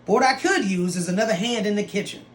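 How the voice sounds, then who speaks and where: A woman's voice: mid-range voice, quick pace, close to an everyday voice. A woman voicing an evil, scornful foster mother from a storybook, bossy and colloquial, in an echoey room. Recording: roomy echo with some background noise.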